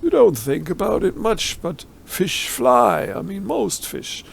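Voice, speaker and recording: A man's voice: high voice, wildly swooping pitch, slow pace, creaky, husky. A man with a German accent doing a let's play, reading game text aloud and adding wry, ironic asides. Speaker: let's player.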